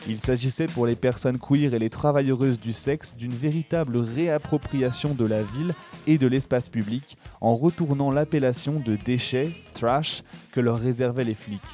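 A man's voice: mid-range voice, normal speed, mellow. A man reading a scripted documentary narration as a radio presenter. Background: music.

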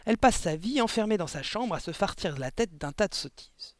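A man's voice: high voice, expressive, brisk, dry recording, pitched above the performer's own voice. A man voicing a vulgar, irritable housewife in a storybook, with snappy, disdainful, slangy delivery. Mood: scornful.

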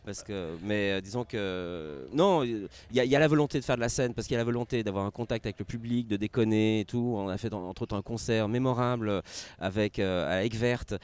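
An older man, speaking in a low voice. He talks in a halting way, filling pauses and letting sentences trail off as he describes his band. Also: darkish tone, clear, resonant tone. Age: 60s. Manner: hesitant, many fillers, trailing off. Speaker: rock band member. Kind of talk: casual interview chat.

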